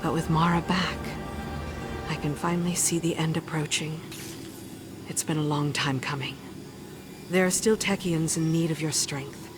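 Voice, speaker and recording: An actress playing a stern, unyielding military commander in a video game, with a firm and severe delivery. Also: female voice, deep voice, fairly even intonation, moderate pace, long pauses, close to an everyday voice. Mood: grave, weary. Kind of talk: video game dialogue acting. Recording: loud music behind voice.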